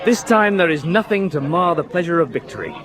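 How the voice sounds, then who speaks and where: Deferential British naval medical officer male actor, dry observer, higher voice, expressive, busy crowd behind. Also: a little fast, natural voice. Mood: wry narration. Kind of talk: radio drama narration and dialogue.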